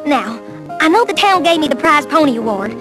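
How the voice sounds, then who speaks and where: Folksy Southern cartoon farm pony. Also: female voice, sits high, animated, brisk, music in the background. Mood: grateful, sheepish. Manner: folksy, plain-spoken. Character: stubborn, hardworking young female farm pony. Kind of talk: animated cartoon dialogue.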